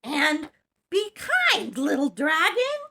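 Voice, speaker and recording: A woman voicing a chirpy, upbeat host character on a children's TV show, introducing a guest in a squeaky, sing-song voice. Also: big pitch swings, slow pace, gravelly, sharp tone, slight background noise, exaggerated voice. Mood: cheerful. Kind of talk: scripted kids' TV introduction.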